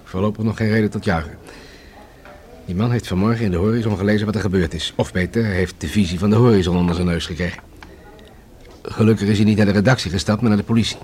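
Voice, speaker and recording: A man acts out a police detective in a radio play. His male voice sits fairly low. He speaks in a sober, measured way with a mildly wry edge. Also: darkish tone, close to an everyday voice. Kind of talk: Dutch radio play acting.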